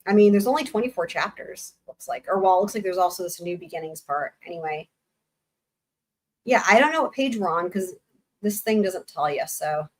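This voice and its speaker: Young woman stream host, live reading with blunt, sarcastic commentary, voice going hoarse. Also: fairly low pitch, animated, fairly quick. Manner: sarcastic, blunt asides with swearing. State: losing her voice, hoarse. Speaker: livestream host reading a book aloud.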